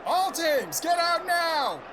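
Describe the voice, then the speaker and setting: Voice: very high voice, very animated, slow, noisy background, full character voice. An actor playing a pompous, vain squad commander in an audio drama, speaking in a grandiose, commanding way. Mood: urgent, commanding. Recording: music behind the voice.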